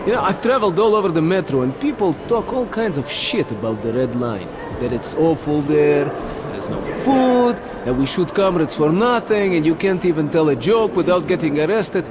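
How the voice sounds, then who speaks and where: Animated high-voiced Russian army major. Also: male voice, moderate pace, background noise. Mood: earnest, opinionated. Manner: chatty, expressive, peppering speech with foreign exclamations. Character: boisterous, talkative Red Line army major, falsely friendly. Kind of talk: video game acting.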